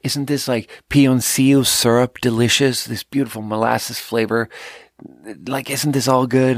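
A man's voice: fairly low pitch, gravelly, dry recording, clean studio sound. Rambling, profane man telling a story. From Sweden. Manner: rambling, profane, laughs at his own jokes. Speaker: podcast host. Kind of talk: casual storytelling monologue.

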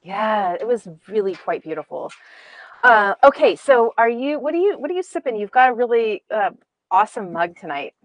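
A woman's voice: fairly high pitch, sing-song, moderate pace, no room sound. A woman chatting casually about meditation, rambling and giggling as she tells a story.

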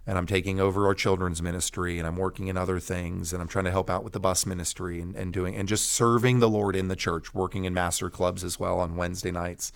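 A man's voice: low-pitched, flat delivery, fast, gravelly, hardly any pauses. A middle-aged American man giving a personal testimony in an interview, speaking emphatically like a preacher in short, repeated phrases. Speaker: pastor guest telling his testimony.

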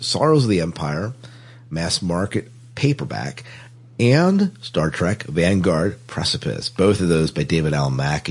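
Very deep, husky male podcast host. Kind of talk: casual podcast chat.

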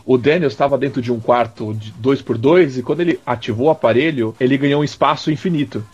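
A man's voice: medium pitch, fast talker, a little mellow, slightly rough. A man, co-hosting a podcast, arguing his points in an exasperated way. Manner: argumentative and exasperated. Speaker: podcast co-host. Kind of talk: casual chat. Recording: dull lo-fi audio.

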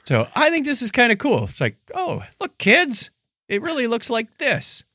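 Animated fast-talking man, podcast co-host. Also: very high voice, no room sound, studio quality. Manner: animated, acts out quips in mock voices. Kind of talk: casual science chat.

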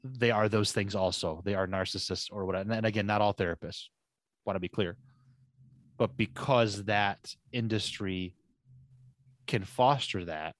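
A man's voice: on the low side, moderate pace, no echo. A man co-hosting a podcast who rambles and thinks aloud with many restarts. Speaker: podcast co-host. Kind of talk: casual conversational chat.

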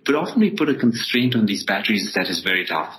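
A man's voice: fairly low pitch, sing-song, fast talker, fairly bright tone, a little hiss or hum, rough recording. A man from Ethiopia, a startup founder, explaining things conversationally as an interview guest.

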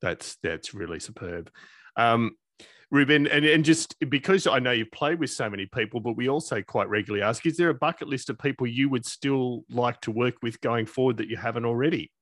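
A man's voice: mid-range voice, a little fast, a bit bright, dry recording, studio quality. A man hosting a conversational interview in an appreciative, affirming way. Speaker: interview host.

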